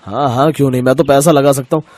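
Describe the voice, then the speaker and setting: A man's voice: medium pitch, fairly even intonation, quick pace, darkish tone, close to an everyday voice. A man playing a jealous, greedy shopkeeper brother-in-law in a moral story cartoon, grumbling and justifying himself. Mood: eager.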